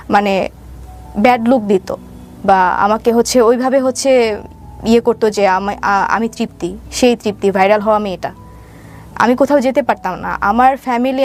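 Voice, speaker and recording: A young woman with a Bangladeshi accent and a fairly high voice. She recounts her own life as an interview guest, hesitating and circling back on filler phrases. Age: young adult. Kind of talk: personal storytelling in an interview.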